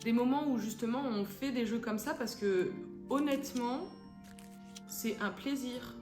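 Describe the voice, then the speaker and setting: Chatty young woman, slightly high voice. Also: unhurried. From France. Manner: chatty and hesitant, many fillers, drops in English phrases. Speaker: gaming YouTuber. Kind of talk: casual let's-play commentary. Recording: echoey room with background music. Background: game music.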